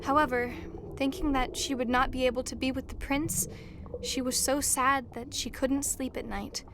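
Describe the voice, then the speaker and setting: High-voiced woman narrating a fairy tale. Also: fairly even intonation, moderate pace, noisy background. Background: music.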